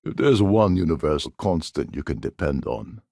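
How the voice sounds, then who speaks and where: Gravelly, sardonic roguish starship captain. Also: male voice, a bass voice, big pitch swings, moderate pace, a little mellow, dry recording, studio quality, full character voice. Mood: guarded, smooth. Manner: sardonic, smooth-talking schemer. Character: roguish, wry male starship captain and former pirate. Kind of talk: video game character dialogue.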